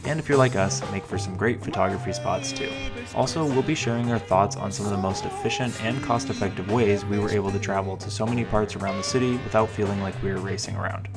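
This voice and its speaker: A man's voice: fairly low pitch, expressive, fast. A young man with a North American accent, talking casually and narrating a travel vlog, with music behind him. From Canada and the USA. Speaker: vlogger.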